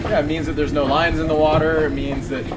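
Brisk, teasing man with a slightly high voice. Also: little pitch movement. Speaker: sailing vlogger. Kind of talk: casual chat. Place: outdoors on a boat and in the sea. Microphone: action camera. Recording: wind and water noise.

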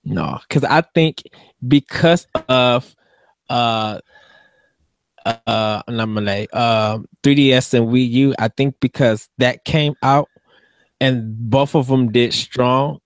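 Young man, gravelly voice, dry close sound, earnest podcast co-host, studio mic. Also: mid-range voice, slow, no room sound. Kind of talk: casual gaming podcast chat. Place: home room with game posters. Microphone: studio mic on boom arm with headphones.

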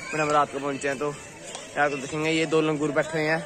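A young man with a hoarse throat films himself on a phone at arm's length and talks straight to his viewers. His voice sits a little high and sounds chatty and excited. Crowd chatter carries in the background. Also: fast talker. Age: young adult. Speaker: travel vlogger. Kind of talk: casual vlog to camera. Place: outdoors at night on a crowded pilgrimage route, and in a busy eatery. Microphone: phone held at arm's length.

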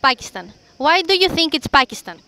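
A woman's voice: high voice, big pitch swings, fairly quick, bright, forceful tone. A young woman hosting a street interview outdoors in a busy city square, asking passersby questions on a handheld mic. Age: young adult. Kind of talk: street vox-pop interview.

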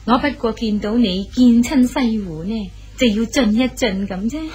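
A wistful, worried companion. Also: female voice, a little high, unhurried, breathy edge. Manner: sorrowful, gently pleading. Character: loyal female companion of an old exile, wistful and worried. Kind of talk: radio drama acting.

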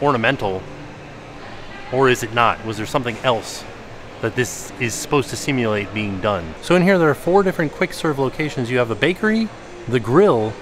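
Man, casual walking-tour commentary, handheld camera, middle pitch, faint public-space noise. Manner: chatty, curious, thinking aloud. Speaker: vlogger touring a resort. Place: indoor restaurant hall and outdoor resort grounds.

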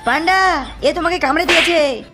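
Woman as a very high-pitched bossy cartoon boy. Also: normal speed, very noisy. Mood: exasperated, instructing. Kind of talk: cartoon dubbing. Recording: music under the voice.

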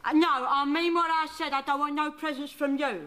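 A woman's voice: high voice, level tone, fairly quick, bright, forceful tone. A woman playing a foul-mouthed elderly grandmother, who fawns over people to their faces and curses them behind their backs. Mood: fussing. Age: older. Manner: sweetly fawning to faces, cursing behind backs. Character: foul-mouthed elderly grandmother, two-faced and sharp-tongued. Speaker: sitcom character. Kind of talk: sketch comedy acting.

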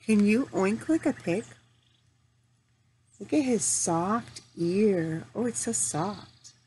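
Slow-paced woman reading to young children. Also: medium pitch. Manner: simple, deliberate phrasing addressed to small children. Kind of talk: children's read-aloud with questions to young listeners. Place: home room in front of a large window. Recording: steady noticeable background noise.

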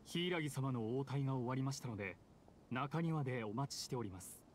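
An actor playing a dutiful guard in a Japanese anime game, reporting formally and deferentially. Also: male voice, mid-range voice, moderate pace, a little hiss or hum, close to an everyday voice. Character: dutiful male guard reporting to his lord.

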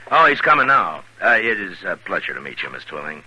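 An actor playing a calm, steady frontier marshal in a 1950s radio western. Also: male voice, low-pitched, a little fast, lo-fi sound. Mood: courteous. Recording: noisy old radio broadcast recording.

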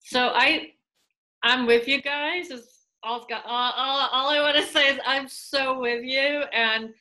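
Bright-voiced woman on a video call. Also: high-pitched, slow pace. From Russia. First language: Russian. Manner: animated, gestures while talking, many fillers and restarts. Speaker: museum curator, guest. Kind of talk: casual video-call interview. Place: small room at home. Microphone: laptop webcam, video call.

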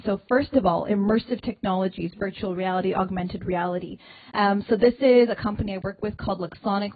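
Energetic young woman giving a talk. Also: mid-range voice. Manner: energetic, punchy emphasis with slowed key phrases. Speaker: space medicine speaker. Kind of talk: conference talk. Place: indoor wood-paneled hall, at a podium. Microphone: handheld mic. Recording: tinny phone-like sound.

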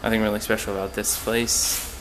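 A young man giving a casual, halting, understated walk-through tour on a handheld camera. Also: little pitch movement, noisy background. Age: young adult. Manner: low-key, halting, understated. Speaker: exchange student giving a tour. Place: indoors in a student dormitory, walking through rooms.

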